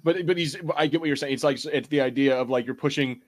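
A man's voice: mid-range voice, fast talker. A man chatting as a podcast panelist, conceding points in a conciliatory way as he debates casually.

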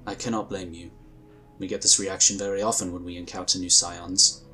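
A courteous, low, bright-voiced sci-fi inquisitor. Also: male voice, flat delivery, soft background music. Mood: apologetic, polite. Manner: formal, measured, polite wording. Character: courteous male magic inquisitor, reassuring sci-fi recruiter of children. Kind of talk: sci-fi audio drama acting.

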